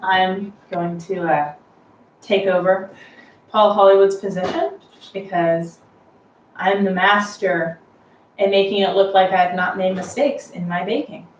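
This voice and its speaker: A woman hosts a casual home baking demonstration in a mid-pitched voice, taking her time as she explains. She is chatty and a little self-deprecating. The recording is roomy, with clear noise behind her. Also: flat delivery, a little slow, muffled recording. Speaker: host of a home baking tutorial. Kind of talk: casual unscripted how-to demonstration. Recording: roomy, distant mic with clear background noise.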